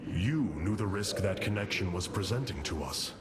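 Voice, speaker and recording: An actor playing a noble, resolute alien warrior commander in a science fiction game, speaking with solemn, formal grandeur. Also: male voice, deep voice, lively intonation, normal speed, background noise. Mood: grave, reassuring. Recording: loud cinematic score behind.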